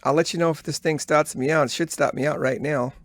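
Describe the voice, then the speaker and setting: A man's voice: a little high, steady tone, fast, no echo. Dry, close-sounding man talking through trades. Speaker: day trader recording a trading journal. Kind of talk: live trade commentary, thinking aloud.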